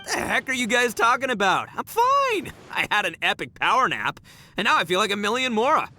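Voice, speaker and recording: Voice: medium pitch, huge pitch swings, fairly quick, pushed and bright, heavily acted. An actor playing a brash, goofy young man in a video game, with a slangy, exclamatory way of talking. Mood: cocky, puzzled. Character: Itto, brash, boisterous, goofy young man, gang leader. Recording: faint music behind the voice.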